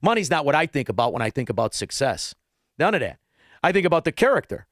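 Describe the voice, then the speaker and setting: A man's voice: on the high side, sing-song, quick pace, fairly bright tone, no room sound, clean studio sound. A man hosting a call-in radio show, ranting bluntly with insults and rambling asides. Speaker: radio talk show host. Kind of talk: combative call-in talk radio. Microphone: studio microphone.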